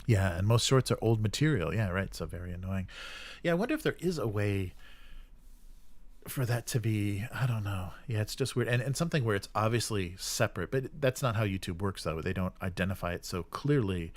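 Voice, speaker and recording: A man's voice: on the low side, animated, fairly quick. A man hosting a live stream in casual chat, rambling hesitantly and often trailing off mid-sentence.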